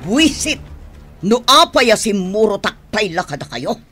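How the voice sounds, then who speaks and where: Haughty scolding mother, sharp and bright. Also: mid-range voice, big pitch swings, unhurried, soft background music. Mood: scornful. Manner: scolding, melodramatic. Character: haughty, defiant, scornful mother, middle-aged woman. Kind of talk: Ilocano radio drama acting.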